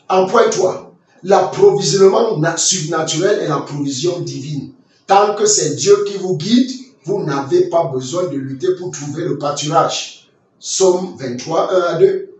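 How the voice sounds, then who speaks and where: A man from Nigeria teaching a Bible lesson in sermon style, telling his own testimony and reading scripture slowly, often repeating words and restarting phrases. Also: on the high side, very echoey, distant mic, low-quality recording. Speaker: Sunday school teacher.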